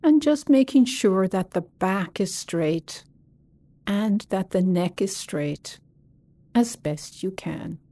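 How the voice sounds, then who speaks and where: A woman leading a guided body scan meditation as a teacher, speaking gently and soothingly with long pauses. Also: medium pitch, animated, dry recording.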